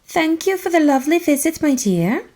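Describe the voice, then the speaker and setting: A woman's voice: high voice, very animated, moderate pace, darkish tone, voice pushed up. A woman voicing a kindly, gracious great-aunt in a children's storybook. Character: kindly great-aunt giving a magical gift.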